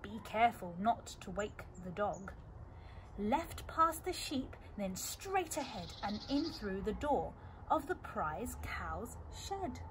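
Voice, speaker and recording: A woman's voice: sits high, sing-song, exaggerated voice. A woman voicing two scheming, gullible male cattle thieves from a children's storybook in a conspiratorial manner. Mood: sneaky, scheming. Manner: slow, conspiratorial, partly whispered delivery. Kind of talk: children's storybook read-aloud. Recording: clearly noisy amateur recording.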